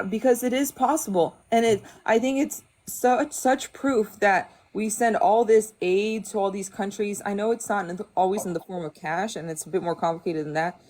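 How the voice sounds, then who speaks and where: A woman in her thirties who grew up in Denmark, calling into a live stream and ranting with emphatic, fed-up bursts. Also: medium pitch. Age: 30s. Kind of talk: impassioned rant.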